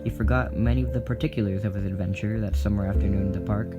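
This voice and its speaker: A man narrates a scripted story as part of an audio drama. His voice sits a little low, and plucked guitar music plays clearly behind him. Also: fairly even intonation, quick pace, highs cut off.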